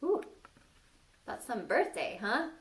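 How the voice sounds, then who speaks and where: A woman's voice: fairly high pitch, very animated, takes it slowly. A woman reading a story aloud to young children, playfully stopping to ask them questions and exclaim. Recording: distant microphone.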